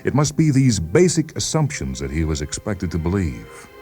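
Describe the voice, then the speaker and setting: A man narrating a literary short story in a deliberate, brooding way with dramatic pauses. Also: sits low, wildly swooping pitch, dark tone. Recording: music behind the voice. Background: music.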